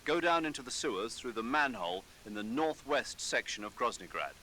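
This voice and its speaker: A man's voice: medium pitch, lively intonation, brisk, pushed and bright, slight background noise. An actor playing a businesslike, cautionary male mission commander giving clipped instructions in a video game briefing. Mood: concerned, instructive.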